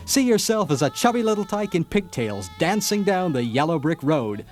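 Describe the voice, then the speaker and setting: A man's voice: fairly high pitch, sing-song, normal speed, darkish tone. A man playing a grandiose, dramatic contest announcer in a satirical radio ad. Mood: grand, enticing. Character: grandiose contest announcer selling a movie star's glamorous, tragic life. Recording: music bed under the voice.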